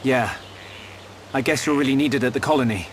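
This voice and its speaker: A man's voice: medium pitch, fairly quick, a little hiss or hum, lightly acted. A British man playing an earnest, polite young hero in a video game cutscene. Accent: British English. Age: young adult. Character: earnest, grateful young male hero with a magic sword.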